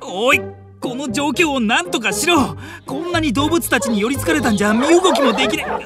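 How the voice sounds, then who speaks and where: Haughty, irritable young older brother, very animated. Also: male voice, very high voice, brisk. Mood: angry, flustered. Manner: indignant, haughty, blunt. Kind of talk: animated Japanese audio drama acting. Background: music and animal sounds.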